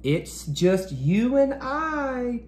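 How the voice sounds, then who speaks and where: A man voicing a fond, wistful woman schoolteacher from a picture book, with slow, stretched-out phrasing. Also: very high voice, big pitch swings, darkish tone, echoey room, pitched above the performer's own voice, exaggerated voice. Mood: wistful, then excited. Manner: slow, stretched-out calling and musing. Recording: noticeable background noise.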